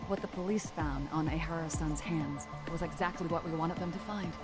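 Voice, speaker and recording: Actress as a smug, lowish-voiced young woman schemer. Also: a little fast, natural voice. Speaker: video game voice actor. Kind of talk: English game dub dialogue. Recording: loud music behind.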